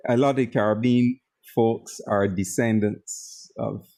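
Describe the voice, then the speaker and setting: Slow-talking Barbadian man. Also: fairly low pitch, steady tone, vocal fry, warm tone. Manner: easygoing, laughs often, reads out viewer comments. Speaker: co-host of a skeptic livestream. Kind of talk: casual livestream chat.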